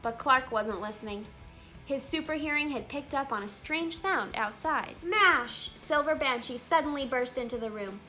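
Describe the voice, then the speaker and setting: A woman hosting a children's read-aloud, telling a storybook in an animated, expressive way with emphatic exclamations. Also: high-pitched, normal speed. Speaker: read-aloud channel host. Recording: music behind the voice. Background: music.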